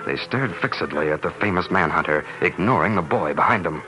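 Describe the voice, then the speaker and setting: A man's voice: low-pitched, expressive, fairly quick, background noise. A man with an American accent narrating a classic radio drama. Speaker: narrator and announcer. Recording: old radio recording, music behind.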